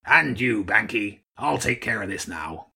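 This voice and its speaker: A man voicing a gruff old seagull archduke in a storybook, commanding and shrewd, barking out orders. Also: fairly low pitch, lively intonation, measured pace, bright, forceful tone, exaggerated voice. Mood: wry, relaxed. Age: older.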